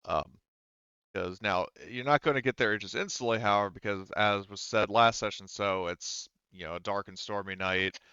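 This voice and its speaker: A man running a tabletop roleplaying game as game master, talking casually and pausing often mid-sentence, on slightly dull audio. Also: on the low side, sharp tone, clear, resonant tone, no echo.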